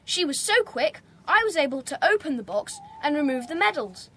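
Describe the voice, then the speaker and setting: A woman's voice: high voice, very animated, normal speed, sharp tone, a little hiss or hum, exaggerated voice. A woman playing a sensible, bossy schoolboy gang leader with an English accent in a children's audio drama. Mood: earnest, sensible.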